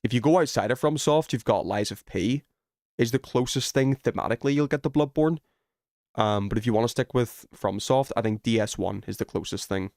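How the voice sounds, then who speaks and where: A man's voice: mid-range voice, fairly quick, darkish tone, no room sound, studio quality. A man chatting casually as a game streamer, rambling in a self-effacing, sweary way. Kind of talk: casual chat while streaming gameplay.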